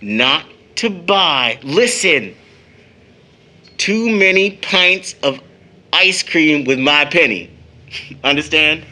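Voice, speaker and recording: A man's voice: a little high, animated, sharp tone, heavily acted. An actor playing a young man, a devoted son with a bitter, teasing streak, in a dramatic audio play. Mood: playful, affectionate. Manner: dramatic, emphatic delivery with long pauses. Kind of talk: stage-style audio drama acting.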